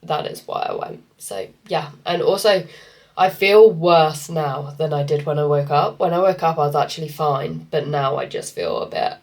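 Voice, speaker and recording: A woman's voice: low-pitched, normal speed, darkish tone. A woman vlogging casually as a fitness coach, congested from a cold, rambling with lots of fillers. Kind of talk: casual vlog chat to camera.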